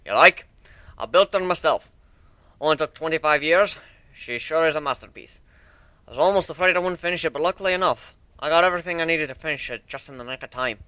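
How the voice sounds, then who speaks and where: Here is a boastful minotaur airship captain, played by a man reading a story aloud, hearty and brash. The voice is raised and forceful, with folksy salesman patter and a bright edge. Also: fairly high pitch, fast talker, smooth, dry recording, voice pushed up. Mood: proud. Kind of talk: dramatic fan fiction reading.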